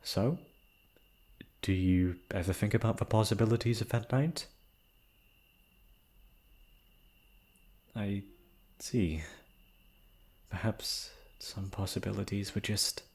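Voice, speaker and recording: A man's voice: on the low side, darkish tone. A man acting a shy, proud, wistful noble prince in a romantic fantasy roleplay, with hesitant, formal, sighing speech. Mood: wistful, teasing.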